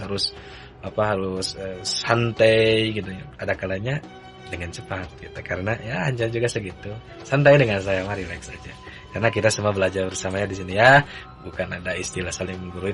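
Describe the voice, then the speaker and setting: A man teaching a religious text to beginners in a relaxed, easygoing manner. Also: lowish voice. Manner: relaxed, chanting the text lines in a sung recitation. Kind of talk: kitab reading lesson.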